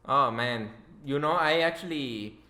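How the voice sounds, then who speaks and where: A man's voice: mid-range voice, measured pace, pushed and bright. A young man hosting a podcast as casual chat, joking and swearing informally. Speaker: podcast host, interviewer. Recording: echoey room.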